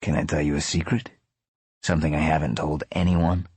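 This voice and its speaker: Guy doing a wry blunt antihero dude in an audiobook, deep gruff voice, casual, dry sound. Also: little pitch movement, fairly quick, darkish tone, dry recording. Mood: confessing. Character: wry, blunt male hell-returned antihero.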